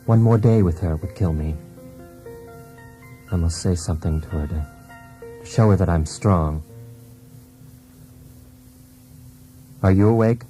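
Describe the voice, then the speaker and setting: An actor playing an anguished, dependent husband in a failed marriage, in a brooding, introspective radio drama monologue. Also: male voice, low-pitched, normal speed, pauses often, warm tone, soft background music, close to an everyday voice. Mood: resolute, conflicted.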